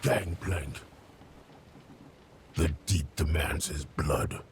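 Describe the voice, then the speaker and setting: An actor playing a vengeful, haunted undead killer in a video game, ominous and intense, gravelly and breaking into whispers. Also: male voice, a bass voice, very slow, a little hiss or hum, exaggerated voice. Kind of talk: video game cutscene acting.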